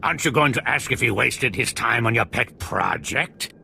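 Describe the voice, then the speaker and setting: A man's voice: mid-range voice, lively intonation, normal speed, gravelly, bright, forceful tone, a little hiss or hum, full character voice. A man acting a cynical, scornful alien colleague in a video game, with a biting delivery. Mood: sneering.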